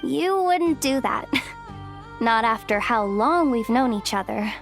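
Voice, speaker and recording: A woman's voice: a little high, expressive, slow pace, soft background music. An actress playing a loyal, kind-hearted, streetwise young woman in a video game, speaking in an earnest, plucky, slightly guarded way. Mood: warm, firm. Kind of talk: dramatic video game voice acting.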